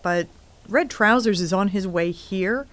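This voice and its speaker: Woman playing wry, practical sidekick, mid-pitched. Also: a little slow, a bit bright, natural voice. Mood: practical, impatient. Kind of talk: audio drama dialogue.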